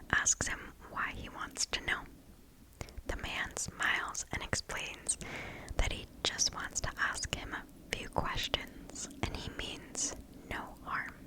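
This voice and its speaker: A woman whispering breathily close to the microphone, reading stories aloud in a hushed, deliberate ASMR style. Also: low-quality recording. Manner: slow, hushed, deliberate storytelling.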